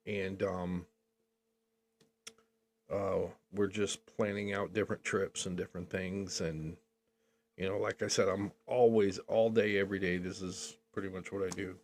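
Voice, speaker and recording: A man's voice: deep voice, fairly even intonation, measured pace. An older man co-hosting a podcast, chatting casually in a rambling, jokey way and laughing often. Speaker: podcast co-host. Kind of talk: casual chat.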